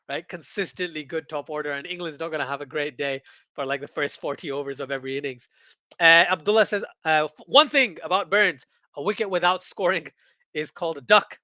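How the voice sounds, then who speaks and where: High-pitched, bright-voiced male cricket streamer. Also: no room sound. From Pakistan. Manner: excitable, repeats phrases for emphasis. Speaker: livestream host and cricket commentator. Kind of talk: casual watchalong chat, reading viewer comments aloud.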